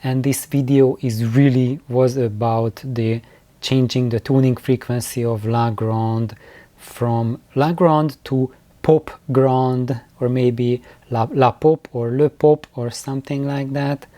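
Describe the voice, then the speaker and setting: Guy hosting a diy how-to, mellow relaxed tone, no room echo, kinda halting, repeats himself, no script. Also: medium pitch. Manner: halting, repeats words and restarts. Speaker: host of DIY loudspeaker building videos. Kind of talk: informal unscripted explanation.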